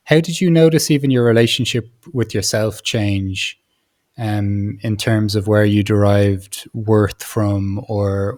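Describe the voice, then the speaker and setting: Low, even-toned man interviewing tentatively. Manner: tentative, circling questions with many restarts. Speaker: podcast host, interviewer. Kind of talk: conversational long-form interviewing.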